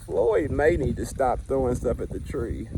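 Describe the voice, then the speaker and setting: A man's voice: mid-range voice, sing-song, normal speed, gravelly, background noise. A man, a school principal, reading a children's picture book aloud expressively, with asides to the listeners.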